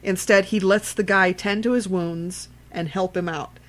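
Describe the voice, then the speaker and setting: A woman's voice: mid-range voice, fairly even intonation, unhurried. A woman co-hosting a casual horror film discussion, speaking emphatically, repeating herself for emphasis and swearing freely. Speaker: podcast co-host.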